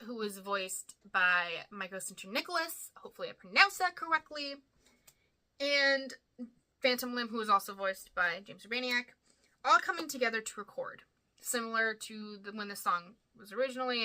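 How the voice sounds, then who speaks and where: Bright, sharp-voiced woman hosting a countdown. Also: medium pitch, lively intonation, normal speed, rough recording. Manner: enthusiastic, emphatic. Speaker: host of a ranking video. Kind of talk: fan commentary, countdown.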